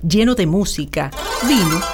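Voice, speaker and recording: A woman's voice: lowish voice, lively intonation, normal speed, over music. A woman narrating a radio drama in a scripted storytelling style.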